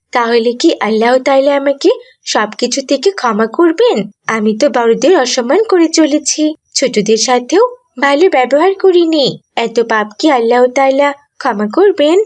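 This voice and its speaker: Woman voicing a high-pitched headstrong cartoon girl. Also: lively intonation, unhurried, a little mellow. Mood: remorseful. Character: stubborn self-centred young girl, later repentant.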